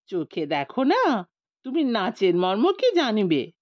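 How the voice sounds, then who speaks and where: A woman's voice: medium pitch, huge pitch swings, a little slow, no echo, dull audio. A woman voicing a stubborn, scornful deaf man in an argumentative, exclaiming manner for a comic storybook. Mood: scornful, shouting.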